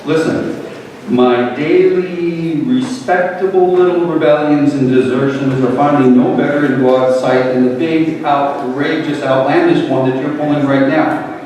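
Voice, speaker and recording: A man's voice: on the high side, steady tone, a little slow, a little mellow, lightly acted. A man playing a devout, forgiving father, earnest and preachy, in a dramatic reading of a parable. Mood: earnest, tender. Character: devout, grieving, forgiving father pleading with his wayward son. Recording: very echoey hall from far off, faint noise.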